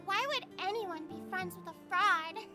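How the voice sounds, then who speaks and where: A woman voicing a snide, mocking high school girl who gossips in a visual novel. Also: animated, slow pace, exaggerated voice. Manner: mocking, dismissive. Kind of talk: visual novel character acting. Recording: music loud behind the voice.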